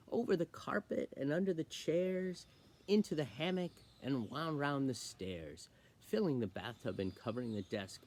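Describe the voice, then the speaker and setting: A man's voice: mid-range voice, creaky, darkish tone, voice pushed up, exaggerated voice. A man voicing a flustered, dismayed party host in a comic children's poem.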